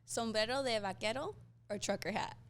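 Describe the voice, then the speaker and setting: A woman's voice: on the high side, a little fast. A woman hosting a casual interview, upbeat and friendly as she asks her guest questions. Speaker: host.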